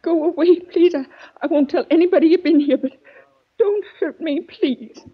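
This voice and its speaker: A woman's voice: extremely high pitch, normal speed, dull audio. An actress playing a frightened woman who stammers and pleads, in an old radio drama recording. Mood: terrified. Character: frightened farm wife, pleading, trembling.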